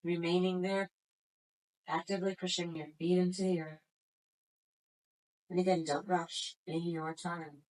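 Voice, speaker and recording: A woman guiding a floor exercise class as instructor, giving slow, gentle, soothing cues. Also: fairly low pitch, flat delivery, rough recording. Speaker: yoga instructor.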